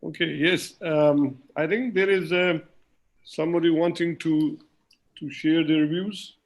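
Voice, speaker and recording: A man's voice: fairly high pitch, steady tone, a little slow. A man moderating a webinar, briefly passing the floor between speakers. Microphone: video call. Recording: distant, dull call audio.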